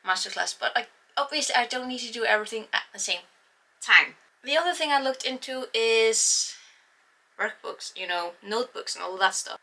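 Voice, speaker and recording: A woman's voice: on the high side, creaky, sharp tone. A young woman with an Icelandic accent, English not her first language, chatting casually and self-mockingly to camera about her writing plans. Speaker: writing vlogger. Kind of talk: casual vlog talk to camera.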